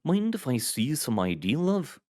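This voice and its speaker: A man's voice: medium pitch, sing-song, unhurried, a little mellow, no room sound, studio quality, pitched above the performer's own voice. A man voicing a big, jolly, bearded pub landlord with an Irish accent, friendly and teasing.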